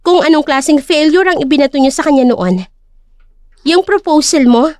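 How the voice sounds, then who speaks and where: A woman's voice: sits high, normal speed, darkish tone, no room sound, clean studio sound, lightly acted. A woman voicing a cool, firm young company owner in an audio drama.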